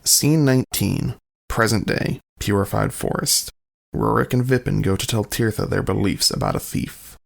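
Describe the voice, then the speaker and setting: A man with a low, extremely creaky voice. He announces scenes in scripted narration for an audio drama. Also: moderate pace.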